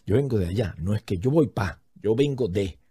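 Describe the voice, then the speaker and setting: A man's voice: fairly low pitch, lively intonation, normal speed, warm tone, slightly rough, no echo. A man telling a story in a casual, comedic way, animatedly acting out what other people said.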